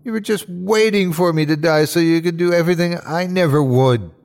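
A man voicing an anguished dying man in a horror story, his voice strained and halting as he speaks in short bursts. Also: medium pitch, expressive, vocal fry, darkish tone. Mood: bitter hatred. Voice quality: strained, sometimes whiny or growling. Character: dying man, frightened, awed, turning bitter and hateful. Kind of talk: dramatic horror story reading.

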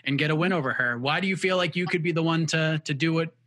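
A man interviewing a fighter, asking long, relaxed questions with fillers. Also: fairly high pitch, fast.

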